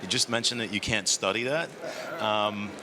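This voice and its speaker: A man answering interview questions as an industry guest, relaxed and thinking aloud with ums. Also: lowish voice, normal speed, noisy background. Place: conference studio.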